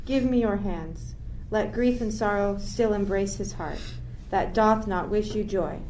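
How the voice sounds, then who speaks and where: A woman's voice: medium pitch, measured pace, a little mellow, lightly acted. A woman playing a solemn, grieving royal mother in a Shakespeare radio play, with formal declamatory delivery. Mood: solemn wonder. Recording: noisy recording with ambient sound texture.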